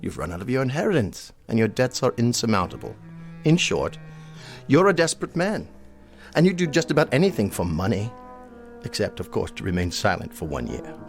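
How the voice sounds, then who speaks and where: An actor playing a haughty, contemptuous old colonel in a radio drama, speaking in a formal, precise and disdainful way. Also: male voice, medium pitch, huge pitch swings, fairly quick, faint music behind. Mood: scornful.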